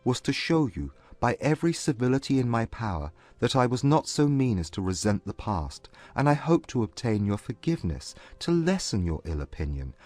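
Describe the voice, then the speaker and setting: A man playing a proud but humbled gentleman suitor, earnest and formal, in a dramatized classic romance audiobook. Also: medium pitch, no room sound. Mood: earnest, reassuring. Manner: formal, measured period English.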